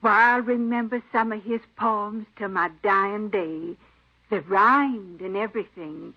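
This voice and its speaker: An actress playing a folksy, forgetful, kindly elderly aunt who rambles in an old radio drama. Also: female voice, medium pitch, unhurried. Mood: proud, doting. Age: older. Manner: rambling, folksy rural phrasing. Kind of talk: 1950s radio drama acting. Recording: dull old radio recording.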